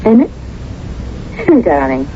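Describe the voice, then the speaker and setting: An actress playing an adoring, playful fiancée, gushing and teasing, in 1970s radio drama style. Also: female voice, medium pitch, wildly swooping pitch, a little slow. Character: adoring, playful fiancée in love with brilliant man. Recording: muffled, noisy old radio broadcast.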